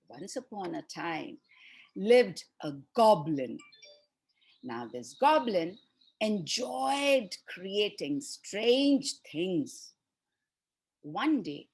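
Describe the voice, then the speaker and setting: A woman telling a fairy tale to children in an expressive style, with deliberate, dramatic pauses between short phrases. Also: mid-range voice, husky. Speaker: storyteller for children.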